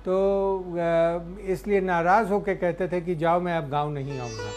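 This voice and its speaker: A man speaking unscripted as an interviewee, recollecting in a halting way and searching for words. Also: sits high, a little mellow, soft background music. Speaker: interviewee recounting a poet's life. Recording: faint background ambient noise.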